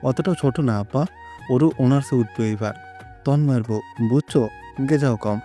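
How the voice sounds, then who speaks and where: Man acting thirties son, Bangladeshi dialect, mellow dark tone, casual, exasperated, audio drama, music behind. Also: medium pitch, normal speed, natural voice. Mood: placating, exasperated. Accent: Bangladeshi Bengali dialect.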